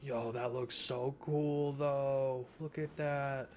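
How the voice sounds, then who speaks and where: A man's voice: mid-range voice, level tone, takes it slowly, darkish tone, slight background noise. A man giving informal let's play commentary, thinking aloud as he goes. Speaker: gaming video host.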